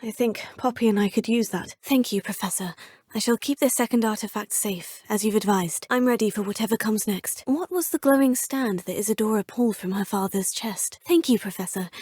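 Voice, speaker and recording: A young student witch a polite, composed voice, formal and earnest. The tone sits fairly high with a soft breathy edge. It is recorded close and dry, like a game studio booth. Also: no echo. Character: polite, determined young female wizarding student. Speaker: player character voice. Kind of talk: video game dialogue lines.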